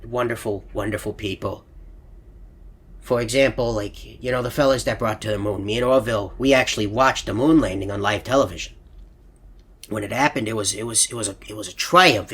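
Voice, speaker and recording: A man's voice: on the low side, fairly bright tone, breathy edge. A man voicing a sweet elderly animatronic hippo in a video game, telling meandering stories in a stammering, earnest, hesitant way. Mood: wistful, earnest. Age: older. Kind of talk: rambling anecdotal monologue in a video game.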